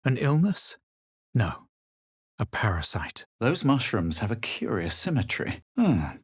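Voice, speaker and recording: Male fantasy game lead: haughty adventurer, measured and dramatic, slightly husky voice. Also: medium pitch, big pitch swings, dry recording, studio quality. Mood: cool, disdainful. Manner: superior, coolly dramatic, measured delivery. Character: haughty, self-assured adventurer hero, adult man. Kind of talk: video game character voice lines.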